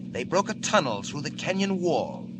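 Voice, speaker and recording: An actor playing a suave, menacing, condescending crime boss in the style of a 1940s radio serial. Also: male voice, on the high side, very animated, moderate pace. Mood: smug, explanatory. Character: cold, scheming male gang boss called the Wolf. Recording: noisy vintage radio recording.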